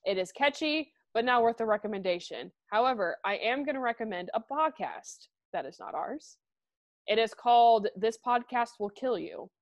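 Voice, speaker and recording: Excitable young woman cohosting a podcast, swears casually, close dry mic. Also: fairly high pitch, normal speed, no room sound. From American Midwest. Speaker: podcast co-host. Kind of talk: casual chat.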